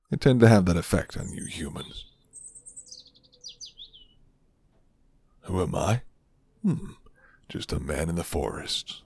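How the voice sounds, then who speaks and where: A man playing a flirty, gentle, rugged werewolf who lives alone in the forest, speaking slowly and hushed in a deep, gravelly ASMR roleplay voice. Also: exaggerated voice. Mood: flirty, teasing. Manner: slow, hushed, teasing.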